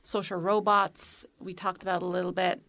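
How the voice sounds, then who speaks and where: A woman's voice: on the low side, level tone, moderate pace, a bit bright, no room sound. A woman from Egypt talking as a guest in a panel conversation, articulate and enthusiastic, explaining her ideas with many examples. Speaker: tech company founder, guest.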